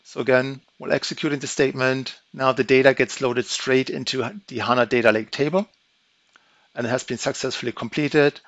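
A man giving a methodical, step-by-step walkthrough of a software demo, explaining each action as he goes. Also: medium pitch, steady tone, brisk, dry recording. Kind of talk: screen-recorded software walkthrough. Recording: dry, slightly dull audio.

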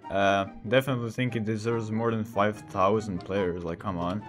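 A man's voice: fairly low pitch, fairly even intonation, moderate pace. A sixteen-year-old male gamer who is not a native English speaker, giving casual, rambling commentary as he hosts a gameplay video. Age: 16, teenager. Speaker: gaming video host. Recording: voice under loud game music. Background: music.

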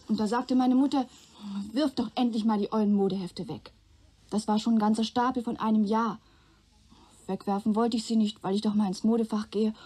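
An actress playing a guarded, loyal young woman giving testimony in a radio play, plain-spoken and measured. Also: on the high side, fairly even intonation, dark tone, husky. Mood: earnest, explaining. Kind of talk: German radio play acting.

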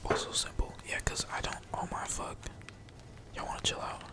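A man whispering casual, slangy and sweary ASMR gaming commentary in a breathy, playful mutter, as a streamer talking to viewers. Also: moderate pace. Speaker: gaming streamer, channel host.

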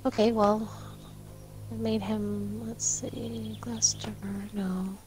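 A woman's voice: medium pitch, little pitch movement, takes it slowly, darkish tone, soft background music. A woman co-hosting a gameplay video, chatting casually with her fellow player as she plays. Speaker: gaming video co-host and player.

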